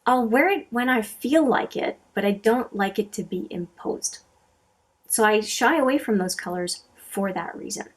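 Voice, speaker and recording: A woman's voice: medium pitch, animated, normal speed. A middle-aged woman from Canada, whose first language is French, chatting casually to camera as she answers viewer questions, with many fillers and restarts. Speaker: makeup channel host.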